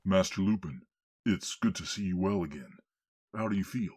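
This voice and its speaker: Courteous lord, very low raspy voice, formal, authoritative, audio drama. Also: male voice, normal speed, a little mellow. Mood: concerned.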